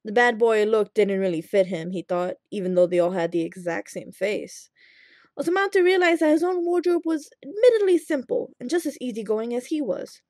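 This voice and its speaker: A woman reading fan fiction aloud as an expressive scripted narration. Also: a little high, normal speed, no echo, clean studio sound.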